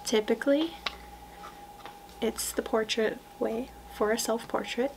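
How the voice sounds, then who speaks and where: A young woman doing a soft-spoken ASMR roleplay, hushed and gentle, often slipping into whispers, close to the mic in a small room. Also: fairly high pitch, steady tone, a little slow, gravelly, very noisy. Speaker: ASMR roleplayer playing a portrait painter. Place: small wood-panelled room indoors.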